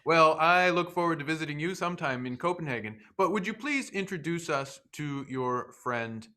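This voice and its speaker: A man hosts an online interview over a video call. His voice comes through bright and forward. He is polite and hesitant, restarting his sentences often. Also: fairly high pitch, animated, normal speed. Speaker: host.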